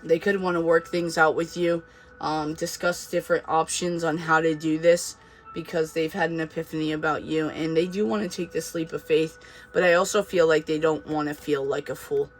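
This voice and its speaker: A man's voice: on the high side, little pitch movement, moderate pace, faint music behind. A man with a slight Boston accent giving a casual, reassuring tarot reading, talking to viewers with many fillers and asides. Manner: chatty, reassuring, with frequent fillers and laughs. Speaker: tarot reader.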